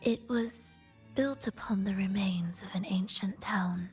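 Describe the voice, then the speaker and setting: Game role: melancholic woman composer, played by an actress. Soft, breathy, solemn, unhurried, medium pitch, over music. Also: lightly acted. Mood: mournful, solemn. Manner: solemn, poetic, measured delivery. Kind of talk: dramatic video game voice acting. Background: background music.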